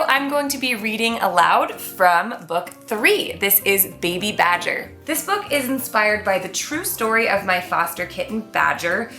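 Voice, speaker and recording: A woman with an enthusiastic, expressive delivery, presenting and reading a children's book aloud. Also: on the high side. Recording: echoey room, faint music behind.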